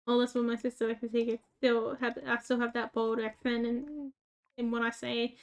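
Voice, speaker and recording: Chatty rural Australian woman, higher voice. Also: level tone, moderate pace. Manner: rambling, filler-heavy, self-mocking. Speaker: gaming YouTuber. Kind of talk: casual gameplay chat.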